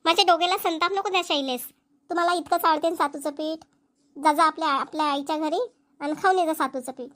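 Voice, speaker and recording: A woman performs a village woman from a Marathi cartoon comedy with a notably high voice. She speaks in a rural Vidarbha accent and a colloquial, homely way. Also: steady tone, fast talker, fairly bright tone, no echo. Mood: irritated. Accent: Maharashtrian, rural Vidarbha Marathi. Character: young village women, mothers and nagging wives in a comedy.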